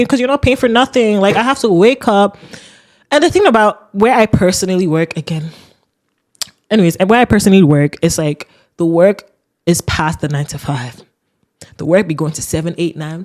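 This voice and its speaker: Deep-voiced young woman ranting. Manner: animated, ranting with laughs. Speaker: podcast host. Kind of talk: casual solo rant.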